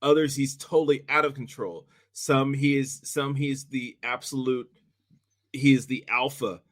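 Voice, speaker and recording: A man talks casually on a podcast in a medium-pitched voice. He builds each point haltingly, repeating phrases to stress them. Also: steady tone.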